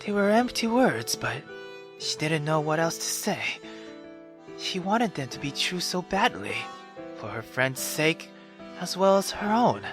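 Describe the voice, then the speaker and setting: A man's voice: fairly high pitch, measured pace, music in the background. A man reading a visual novel aloud theatrically while playing it, breaking into casual, sweary commentary. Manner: theatrical, expressive, swears freely in asides. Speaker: video game player reading aloud with commentary. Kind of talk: dramatic visual novel narration with casual reactions.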